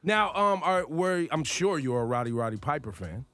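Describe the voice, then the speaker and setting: A man's voice: on the high side, big pitch swings, moderate pace, fairly bright tone. A man hosting a morning radio interview in a studio, brash and teasing, with slangy, blunt questions. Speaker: radio host.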